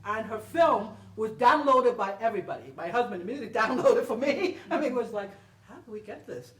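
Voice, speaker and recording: A woman's voice: a little high, animated, a little fast. Emphatic woman in a roomy, distant recording. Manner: emphatic, repeats herself, checks in with "okay?". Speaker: panel speaker, performer answering an audience. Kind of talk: animated conversational storytelling to an audience. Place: indoor library event room, far microphone. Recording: roomy, distant event recording with faint noise.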